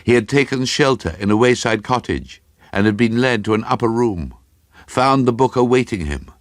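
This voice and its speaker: A man reading a horror story for radio drama in a grave, suspenseful manner with deliberate, dramatic phrasing. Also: mid-range voice, animated, no echo, clean studio sound.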